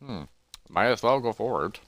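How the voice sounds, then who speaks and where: A man voicing an excitable, curious young adventurer who exclaims and muses to himself in a fantasy story. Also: lowish voice, moderate pace, no room sound. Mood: puzzled musing.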